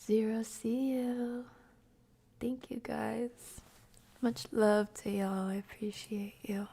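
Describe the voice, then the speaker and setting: A woman chats casually to her livestream audience in a fairly high voice. Her pace is slow and unhurried, with plenty of creak at the edges. She speaks softly, often falling into a breathy near-whisper. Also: flat delivery. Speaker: live streamer. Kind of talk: casual livestream chat with viewers.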